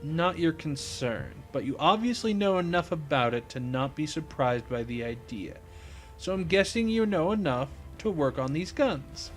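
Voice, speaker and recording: A man voicing a cool, calculating criminal in a story, with a controlled, smug, measured drawl. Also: fairly high pitch, lively intonation, faint music behind, close to an everyday voice.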